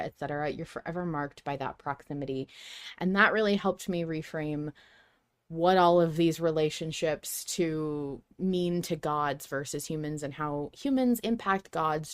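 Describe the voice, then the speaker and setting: A woman hosting a podcast in casual, animated chat, swearing freely. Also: deep voice. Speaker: podcast host.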